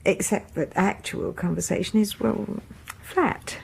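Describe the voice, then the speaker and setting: A woman's voice: medium pitch, a little slow, a little mellow, exaggerated voice, poor audio. An actress playing a shy, devoted classical music fan in a radio horror drama, a genteel, anxious British woman who speaks in a hesitant, polite, confiding way. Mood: wistful admiring. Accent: British English. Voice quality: breathy.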